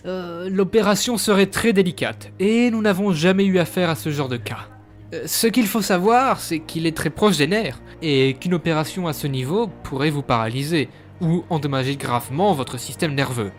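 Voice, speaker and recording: A man playing a careful, serious hospital doctor who explains slowly forming thoughts in an audio drama. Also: a little high, soft background music, close to an everyday voice. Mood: serious, concerned. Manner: explanatory, measured, slightly hesitant. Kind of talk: French audio drama acting.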